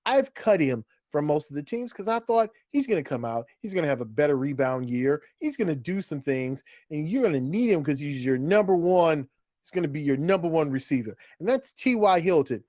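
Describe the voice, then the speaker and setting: Higher-voiced man hosting casual sports chat. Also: expressive, brisk, a little mellow, dry recording. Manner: colloquial, conversational, repeats phrases for emphasis. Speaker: fantasy football podcast host. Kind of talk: casual sports chat, steering segments and questions.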